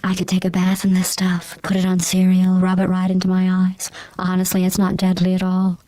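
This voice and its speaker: A woman voicing a cold, murderous, sarcastic artificial intelligence in a video game, deadpan, with a synthetic voice processed flat and robotic. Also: fairly quick, no room sound, low-quality recording. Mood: deadpan menace. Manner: monotone, deadpan, menacing. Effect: robotic pitch-flattened voice processing. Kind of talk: scripted video game villain monologue.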